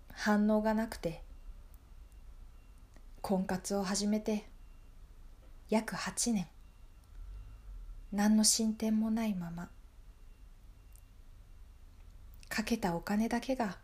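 A woman acts out a desperate single woman in her thirties in an improvised radio play. Her voice is high, and she pleads and repeats herself in an overwrought, gullible way. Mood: weary, then hopeful. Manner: pleading, breathless repetition, overwrought. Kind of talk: improvised radio drama acting.

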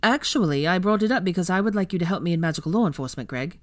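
A woman's voice: lowish voice, lively intonation, rapid-fire, no room sound, natural voice. A friendly young wizard, as a woman reader puts him on speaks plainly and earnestly, close to the narration's voice, in a storybook reading.

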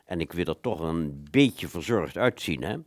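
A man's voice: low voice, lively intonation, moderate pace, no room sound, clean studio sound. A man playing a polite, talkative, slightly flustered hair salon customer in a radio play, rambling with hesitations. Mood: chatty. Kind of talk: Dutch amateur radio play dialogue.

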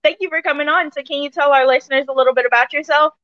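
A woman's voice: high voice, steady tone, rapid-fire, no echo, highs cut off. A woman in her thirties hosting a podcast with casual interview chat. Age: 30s. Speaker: podcast host.

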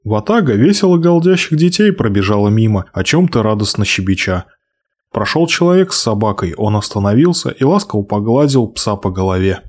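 A man reading a fairy tale aloud, expressive, slowing and hushing for the sad passages. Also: lowish voice. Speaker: narrator. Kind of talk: storybook reading.

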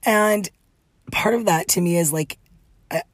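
Low, breathy, raspy-voiced woman. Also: a little slow, no echo. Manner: chatty, laughs often, many fillers. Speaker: podcast host. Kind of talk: casual solo podcast monologue.

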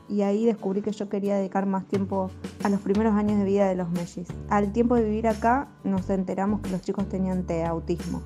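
A woman with an Argentine accent from Rosario narrates her own introduction in the first person. Her voice is dark and mellow at a medium pitch, with light music underneath. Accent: Rosario Argentine Spanish. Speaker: podcast guest introducing herself.

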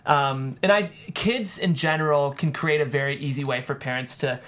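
A man's voice: fairly high pitch, normal speed, fairly bright tone. A man being interviewed as a screenwriter, explaining things thoughtfully in casual talk peppered with "like".

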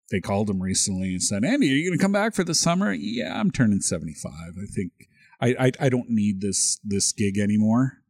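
A middle-aged man from Canada, raised speaking French, chatting casually as a podcast co-host. His voice sits low and slightly husky, recorded dry and close. He often stammers a word or two before each sentence gets going. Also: a little mellow, no echo. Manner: halting, repeats words when starting sentences.

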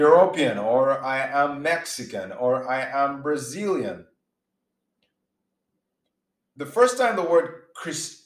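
A man's voice: fairly high pitch, reverberant. A man giving a sermon-style teaching in an earnest way, often repeating words and restarting as he builds his points. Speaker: preacher.

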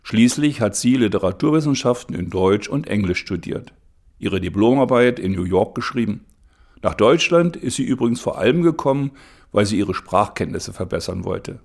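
A man narrating a documentary in a measured, even read. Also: fairly low pitch, gravelly.

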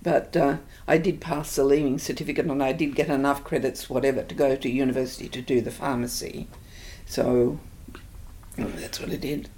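An older woman with an Australian accent, thoughtfully recalling her life in an interview, pausing often. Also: rumbling low, flat delivery, dark tone. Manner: reflective, hesitant with many fillers. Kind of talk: oral history interview.

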